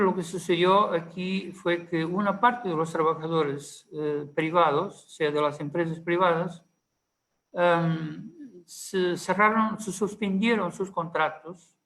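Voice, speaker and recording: A man's voice: a little high, vocal fry, fairly bright tone, poor audio. A man speaking Spanish with a Portuguese accent, a panelist giving a conference talk, hesitant with many fillers and restarts.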